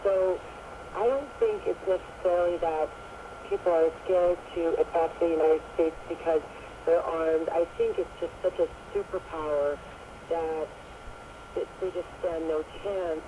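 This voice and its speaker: A man's voice: fairly high pitch, steady tone, a little slow. A polite, hesitant man from Canada, born in Baghdad, chatting casually as a caller on a dull, noisy shortwave radio phone-in. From born in Baghdad, raised in Canada. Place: phone call to radio station.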